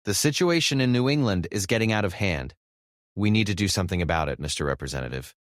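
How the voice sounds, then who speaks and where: Man playing an animated, urgent government official. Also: deep voice, quick pace, no room sound, clean studio sound. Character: alarmed American government official urging action. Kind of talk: analog horror drama dialogue.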